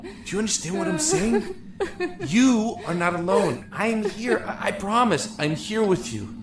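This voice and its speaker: An actor playing a lonely, emotional young man in a prison cell in an audio drama, talkative and halting. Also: high voice, sing-song. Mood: tearful, consoling. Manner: halting, rambling, effusive. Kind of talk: audio drama acting with first-person narration. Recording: echoey room with music behind.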